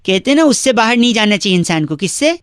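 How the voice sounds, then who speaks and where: A man making radio prank phone calls, teasing and provoking the person on the line with mock-innocent calm. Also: high-pitched, quick pace, smooth, no room sound, studio quality.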